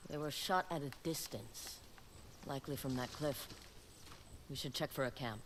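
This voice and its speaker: An actress playing a stubborn, driven bounty hunter in a dramatic video game, terse and hard-edged, with loud music behind her. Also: extremely low pitch, normal speed, noisy background. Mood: dry, determined.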